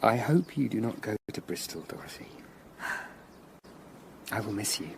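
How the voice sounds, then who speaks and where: Weary, earnest Tudor investigator. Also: male voice, on the low side, animated, normal speed, creaky, very noisy. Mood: wistful. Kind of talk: period radio drama acting.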